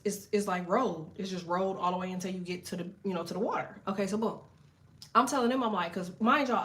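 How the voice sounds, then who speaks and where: Chatty, profane woman telling a story. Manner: loose, profane, chatty, lots of "okay?" and "so boom". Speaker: tarot reader. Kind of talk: casual storytelling to viewers.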